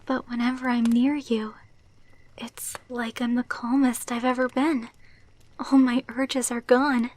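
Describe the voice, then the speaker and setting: A woman voicing a tender, devoted vampire girlfriend in an ASMR roleplay, speaking softly and intimately, often near a whisper, close to the mic. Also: fairly high pitch, little pitch movement, unhurried, close to an everyday voice. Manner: soft, intimate, breathy delivery, often dropping to whispers. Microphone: close microphone, dry.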